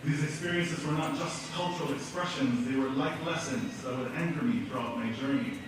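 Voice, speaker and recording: A middle-aged man from American Samoa giving a measured, earnest speech at an awards ceremony, telling his story with pauses. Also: medium pitch, background noise. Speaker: keynote speaker. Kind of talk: prepared speech. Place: school auditorium. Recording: echoey hall from far off.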